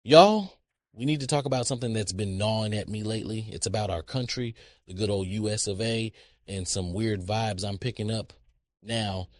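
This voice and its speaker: A man's voice: fairly low pitch, normal speed, no room sound. An American man delivering a folksy, scripted monologue to an audience.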